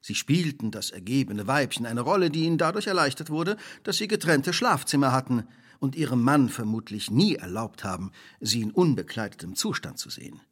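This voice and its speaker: An actor playing a shrewd, relentless detective in a radio drama, speaking precisely, formally and theatrically. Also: male voice, mid-range voice, lively intonation, fairly quick, a bit bright, breathy edge. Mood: accusing, assured. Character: shrewd, relentless male detective laying out a crime.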